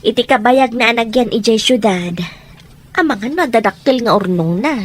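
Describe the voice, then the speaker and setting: A woman's voice: a little high, normal speed, close to an everyday voice. A woman acting an outspoken, teasing mother and wife with a Filipino accent in an Ilocano radio drama. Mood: everyday, chatty.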